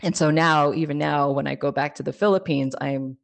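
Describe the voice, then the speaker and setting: A woman with a notably low speaking voice talks about her life in a relaxed interview. She tells her story with fillers and soft laughs. She sounds like a young adult raised in California. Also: brisk, creaky. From California, USA. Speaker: podcast guest, life coach. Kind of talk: casual interview conversation.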